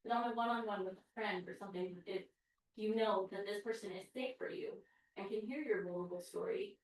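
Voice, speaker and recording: A woman with an ordinary mid-range voice chimes in to agree during a group discussion. She is heard from far off in a very echoey room. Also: mid-range voice. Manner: agreeing, quick interjections. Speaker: group participant.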